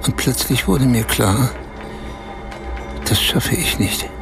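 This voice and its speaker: An actor playing a desperate, battered man who tells of his humiliation in short, halting phrases in a radio play. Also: medium pitch, animated, creaky, music in the background. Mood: desperate, recounting. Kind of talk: radio play acting, storytelling.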